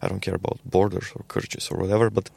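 A young adult man with a Bulgarian accent, a podcast guest talking casually in a halting way with many fillers and repeated words. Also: low voice, flat delivery, gravelly, a little mellow, slightly rough. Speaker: podcast guest, label co-founder. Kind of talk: casual conversational interview.

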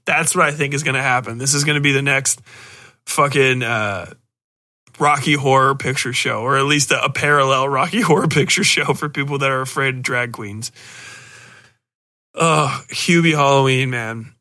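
A man hosting a podcast, giving a casual, rambling, animated review and swearing freely. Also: mid-range voice, normal speed. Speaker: podcast host.

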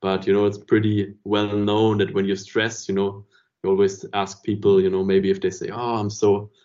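Low, even-voiced man, reflective and rambling. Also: moderate pace. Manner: rambling, reflective, often says "you know". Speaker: podcast host. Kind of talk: casual podcast conversation. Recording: dull lo-fi podcast audio.

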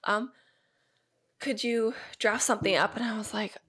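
A young woman co-hosting a podcast in casual chat, sounding congested and stuffy as she gets over an illness, rambling with lots of fillers and quick laughs. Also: a little high, steady tone, a bit bright, slightly rough. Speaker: podcast co-host.

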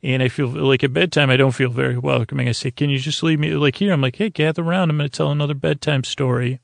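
Male podcast host, gravelly and fry-laden, close dry sound, goofy stop-start bedtime rambling. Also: medium pitch, steady tone, no room sound. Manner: halting, meandering, self-interrupting, goofy.

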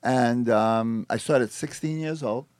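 A man's voice: mid-range voice, slow, a little mellow, smooth, no room sound, clean studio sound. A man who works in nightclubs, a podcast guest talking in an easygoing way and explaining at length. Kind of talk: casual chat.

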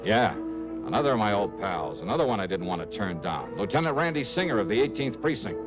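Harried radio detective, American, wry, dramatic, very animated pitch, vintage muffled sound. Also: male voice, fairly quick. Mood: confiding, wry. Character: brisk, harried, loyal male insurance investigator. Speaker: lead and narrator. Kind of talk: 1960s radio detective narration and acting. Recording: muffled old radio, music underneath.

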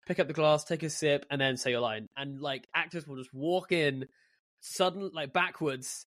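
A man's voice: a little high, normal speed, fairly bright tone. Enthusiastic male podcast host. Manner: effusive, enthusiastic. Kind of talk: casual interview chat.

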